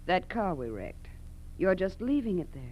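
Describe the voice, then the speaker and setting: An actress playing a ruthless, scheming wife of a car thief in a 1940s radio drama, speaking deliberately and with command. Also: female voice, on the low side, very animated, mellow. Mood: accusing. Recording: noisy 1940s radio broadcast recording.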